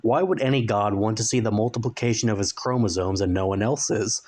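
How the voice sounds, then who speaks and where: A man's voice: on the low side, brisk, darkish tone. A man narrating a story as a dramatic reading, in scripted audiobook style.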